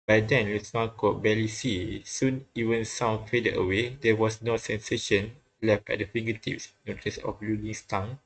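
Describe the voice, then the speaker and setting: Male game narrator, low register with a gravelly edge, halting read-aloud, frequent stumbles and restarts. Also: steady tone, poor audio. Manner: often stumbles and repeats phrases. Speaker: host reading a visual novel aloud.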